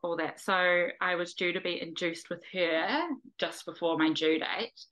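A woman recounts her pregnancy in a relaxed, easygoing way, pausing on ums as she goes. Her voice sits a little low in pitch yet sounds bright and forward. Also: low-quality recording. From New Zealand. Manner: relaxed storytelling with fillers and short pauses. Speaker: guest, mother. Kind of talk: casual podcast interview.

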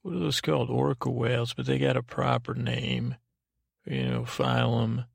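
A man with a heavily creaky, gravelly voice rambles through a bedtime monologue. His delivery is halting and digressive, meant to soothe, and stays within a narrow pitch range. The sound is close and dry. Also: level tone, no echo. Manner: lulling, soothing. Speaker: podcast host. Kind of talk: rambling bedtime monologue to lull listeners to sleep.

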